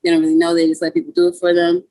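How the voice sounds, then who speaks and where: A woman's voice: lowish voice, completely flat delivery, racing, warm tone, no echo. A young woman from Guyana, a musician guest chatting casually on a video call interview, with a laid-back manner full of "like" and "you know".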